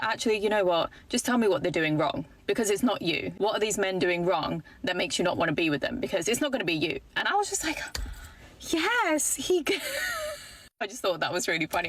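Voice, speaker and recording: A woman's voice: medium pitch, a bit bright, husky. A woman in her thirties, telling a dating story in a chatty, talkative way, full of "like". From Britain. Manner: chatty, talkative, filler-heavy with frequent "like". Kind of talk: casual storytelling to camera.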